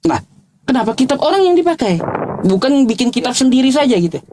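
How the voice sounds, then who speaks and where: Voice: high voice, big pitch swings, fairly quick, noisy background. A debater, speaking informally and insistently, challenging and repeating points in a religious argument. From Indonesia.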